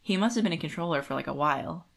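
Woman, low-pitched, podcast co-host; loose, informal book chat that rambles along. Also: lively intonation, racing, gravelly. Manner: informal, rambling, like-heavy phrasing. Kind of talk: casual book discussion chat.

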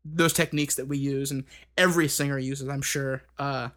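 A man's voice: mid-range voice, steady tone, normal speed, a bit bright. A thirty-year-old man chatting casually on a band podcast, rambling with many fillers and restarts. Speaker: band member co-hosting a podcast.

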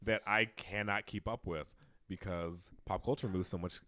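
A man's voice: low voice, steady tone, moderate pace, sharp tone, dry recording, studio quality. A man in his late twenties, co-hosting a casual podcast, talking in long rambling stretches with lots of fillers and self-deprecation. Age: 20s. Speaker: podcast co-host. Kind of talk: casual chat.

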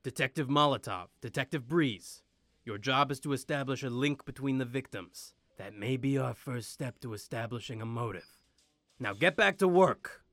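A man's voice: mid-range voice, lively intonation, moderate pace, fairly bright tone, dry recording, faint music behind. A man playing a brusque, commanding police chief briefing detectives in an audio drama. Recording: faint background noise and ambience.

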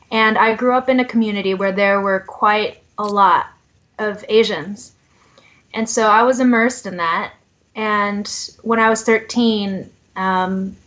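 A young adult woman from Southern California, a podcast guest telling her life story in a reflective, casual way with fillers and soft laughs. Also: medium pitch. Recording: slightly muffled lo-fi audio.

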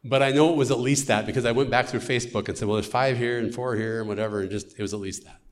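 A man's voice: medium pitch, steady tone, quick pace. Earnest American man telling stories. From United States. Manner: earnest storytelling. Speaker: missionary. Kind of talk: church testimony talk.

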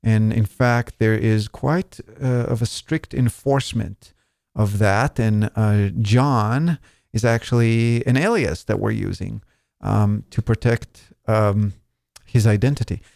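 Israeli man, 40s, gravelly podcast host, chatty, dry close sound. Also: lowish voice, slow pace, a little mellow, dry recording. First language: Hebrew. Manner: chatty, joking, many fillers. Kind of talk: casual podcast interview.

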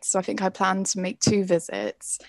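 A woman's voice: mid-range voice, very animated, normal speed, no echo. A young woman from Wales, a photographic artist, talking through her work in a thoughtful, hedging way. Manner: thoughtful, hedging, frequent "like" and "I think". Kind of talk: conversational interview.

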